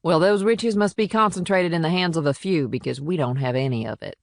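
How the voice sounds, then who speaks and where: A man's voice: fairly high pitch, brisk, gravelly, no room sound, pitched above the performer's own voice. A firm small-town policewoman, acted out by a male narrator in a crime audiobook. The delivery is plainspoken and matter-of-fact, with a protective edge toward the locals. The sound is dry, with very little room. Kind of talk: audiobook character dialogue.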